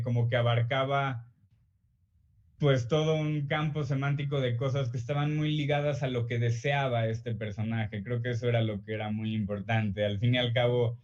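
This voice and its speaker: A young Mexican man, an author answering interview questions in a relaxed, hesitant way full of fillers and restarts. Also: mid-range voice, normal speed, dull audio.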